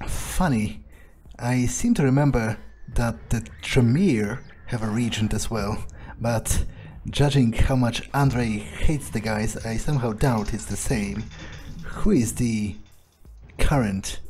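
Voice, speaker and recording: A man roleplaying a curious, impatient vampire newcomer in a video game, improvising in a halting, pondering way. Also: medium pitch, a little mellow, slight background noise, lightly acted. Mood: probing. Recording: faint music behind the voice.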